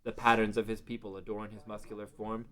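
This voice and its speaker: A man's voice: on the low side, little pitch movement, brisk, a bit bright. A man narrating a scripted fantasy story as the narrator of a table read.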